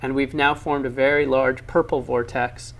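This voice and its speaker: A man's voice: mid-range voice, level tone, moderate pace, poor audio. A man narrating laboratory science demonstrations for an educational film, explaining with enthusiasm and emphasis.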